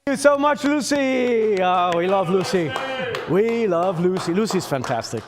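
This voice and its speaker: A man with a Swedish accent working as an auctioneer, calling bids in a rapid, repetitive chant. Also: sits high, lively intonation, a crowd in the background. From Sweden. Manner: repetitive rhythmic bid chant.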